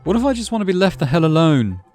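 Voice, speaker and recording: A man's voice: on the high side, very animated, fast talker, a little mellow, soft background music, voice pushed up. Man acting a bitter, defiant young loner. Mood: angry, defiant. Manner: grumbling, snapping, self-deprecating.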